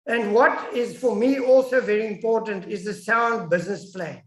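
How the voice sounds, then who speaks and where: A woman's voice: mid-range voice, moderate pace. A South African woman whose first language is Afrikaans, giving an emphatic webinar lecture over an online call as a guest academic. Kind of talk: webinar lecture with audience questions. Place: indoors, online video call. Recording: echoey room on online call.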